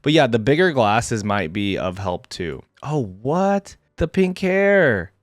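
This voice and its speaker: A man giving casual, unscripted reaction commentary as a gaming fan, slangy and thinking out loud as he second-guesses himself. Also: mid-range voice, sing-song, slow, dry recording, studio quality.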